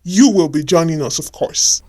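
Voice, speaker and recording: A woman voicing a confident, authoritative male company boss in a storybook tale, speaking formally and with measured praise. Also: low-pitched, animated, mellow, voice pushed down. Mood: confident, celebratory.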